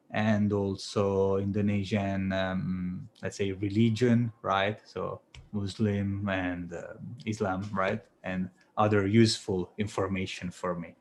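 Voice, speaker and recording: A man with an Italian accent, teaching English on a video call and giving encouraging feedback with many fillers. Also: lowish voice. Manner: relaxed, encouraging, full of fillers. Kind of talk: online class feedback round.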